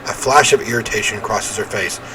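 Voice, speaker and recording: A man reading visual novel narration aloud in an expressive storytelling way, with casual commentary. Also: lowish voice, brisk, background noise. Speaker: video game let's player.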